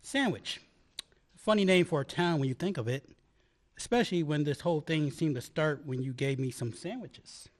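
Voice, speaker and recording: A man's voice: fairly high pitch, animated, moderate pace, close to an everyday voice. An actor playing an earnest man in love, a historical researcher, in a dramatic radio-play style. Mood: teasing. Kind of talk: stage radio play acting.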